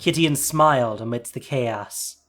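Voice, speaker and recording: A man giving a scripted, dramatic fantasy narration as storyteller, measured and suspenseful. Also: medium pitch, crowd noise. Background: music.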